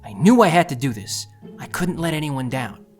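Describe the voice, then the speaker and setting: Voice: medium pitch, expressive, moderate pace, faint music behind, natural voice. An actor playing an anxious, self-doubting, idealistic young thief who narrates their own story earnestly and intensely in an audio drama. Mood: earnest, self-mocking.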